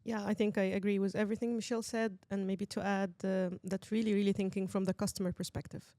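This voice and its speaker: A woman's voice: medium pitch, flat delivery, quick pace, dark tone, dry recording. A woman, a podcast guest who speaks earnestly and uses many fillers. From Egypt. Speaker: guest, head of engineering. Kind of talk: casual podcast conversation.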